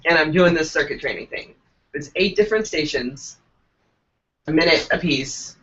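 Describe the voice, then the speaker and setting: A stuffed-up, congested man co-hosting a casual live web show, telling a story in a profane, animated way. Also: a little high, normal speed. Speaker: co-host. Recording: dull lo-fi web stream.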